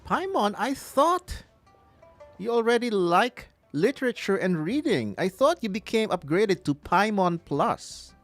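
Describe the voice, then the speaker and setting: A man's voice: high-pitched, sing-song, a little slow, faint music behind. A man giving live playthrough commentary as a gaming streamer, musing aloud and breaking into exclamations.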